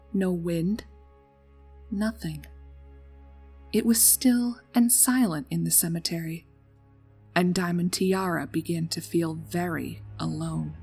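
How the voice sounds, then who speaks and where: A woman's voice: fairly low pitch, vocal fry, a little mellow, husky. A woman reading a scripted story as narrator in a dramatic storytelling style. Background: background music.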